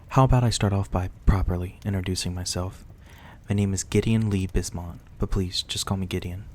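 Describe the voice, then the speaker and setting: Guy acting as a soft spoken reclusive vampire, really deep voice, polite n soothing asmr roleplay, noisy ambience behind him. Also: natural voice. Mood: gently concerned. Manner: soft, reassuring, politely old-fashioned. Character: gentle, courteous reclusive young-looking male vampire host, 174 years old.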